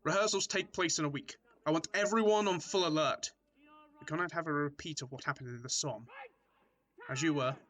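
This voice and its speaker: A man's voice: on the high side, fairly quick, fairly bright tone, lightly acted. A man acting a stern, short-tempered First World War officer in a radio drama, barking orders and scolding. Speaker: actor in a student radio drama.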